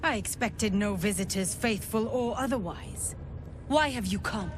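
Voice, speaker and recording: A woman's voice: medium pitch, unhurried, music in the background, natural voice. An actress voicing a suspicious githyanki sentry woman who speaks in clipped, hostile demands.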